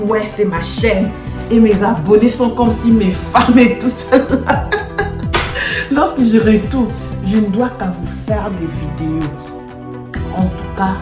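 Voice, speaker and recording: Emphatic Congolese woman in an echoey room. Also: mid-range voice. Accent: Congolese French. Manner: emphatic, repetitive, playfully boastful. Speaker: YouTuber. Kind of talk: casual vlog addressed to subscribers. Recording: echoey room with music behind. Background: music.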